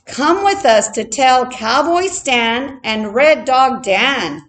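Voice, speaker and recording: Woman voicing an urgent, high-pitched folksy prairie hen. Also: lively intonation, takes it slowly, fairly bright tone, exaggerated voice. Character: excitable female prairie chicken sounding the alarm.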